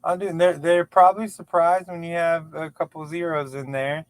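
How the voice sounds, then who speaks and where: Man, late thirties, guest, fairly high voice, dry, joking, repeats words. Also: little pitch movement, moderate pace, no room sound. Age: 30s. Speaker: podcast guest. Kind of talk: casual chat.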